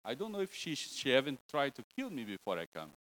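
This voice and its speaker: A male preacher from Brazil speaks with a Brazilian Portuguese accent, delivering a casual church sermon. His English is broken and halting, and he keeps the tone light with jokes at his own expense. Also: mid-range voice, wildly swooping pitch, fairly bright tone, rough recording. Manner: joking, self-deprecating, broken English. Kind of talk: informal sermon.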